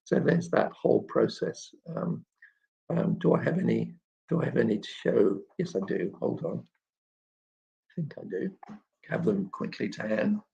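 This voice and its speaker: A man hosting an informal live question-and-answer chat, explaining things in a halting way and often clearing his throat. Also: fairly low pitch, sing-song, warm tone. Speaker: children's author and illustrator hosting a live chat. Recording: dull, muffled lo-fi live stream.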